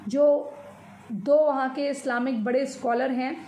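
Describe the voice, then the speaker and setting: A woman with an Indian accent giving informal news commentary, explaining in a halting, repetitive way and mixing in English words. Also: high voice, steady tone, mellow. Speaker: commentator on social and religious news. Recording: echoey room with faint noise.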